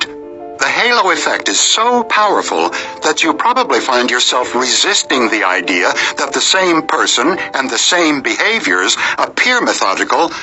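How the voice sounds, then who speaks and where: A man reading nonfiction as an audiobook narrator in a measured, articulate, emphatic delivery. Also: medium pitch, rough recording.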